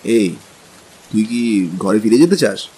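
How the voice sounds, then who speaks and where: An actor playing an easygoing young husband, practical and curious, in a conversational, questioning style for an audio drama. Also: male voice, on the low side, expressive, slow pace, darkish tone, husky, exaggerated voice, rough recording. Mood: casual, curious. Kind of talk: dramatized Bengali audio story. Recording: music and rain behind.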